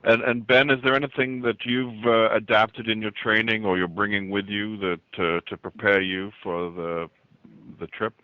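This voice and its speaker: A man's voice: on the low side, little pitch movement, moderate pace, clean tone, dry recording. A man asking conversational questions as a sports reporter on a press conference call. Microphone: telephone. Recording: dull band-limited phone call.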